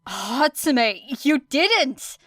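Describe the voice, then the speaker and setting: A woman's voice: sits high, big pitch swings, extremely slow, a bit bright, no room sound, pitched above the performer's own voice, heavily acted. A woman voicing a dry, sardonic teenage girl student in an audiobook, grudging and grumbly in manner. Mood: panicked, angry. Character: wry, grumbling teenage girl hero student testing gear. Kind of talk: audiobook character acting.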